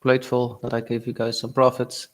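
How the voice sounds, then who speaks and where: A young man from Belgium, speaking natively, giving casual, halting live-stream commentary on his trades and answering chat. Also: medium pitch, completely flat delivery. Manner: casual, halting, reads chat aloud with fillers.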